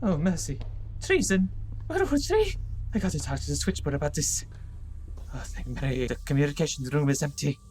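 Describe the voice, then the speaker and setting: A man's voice: fairly high pitch, expressive, fairly quick, darkish tone, slight background noise, close to an everyday voice. A man playing a timid, anxious scientist in an amateur audio drama, with a hesitant, fretful manner.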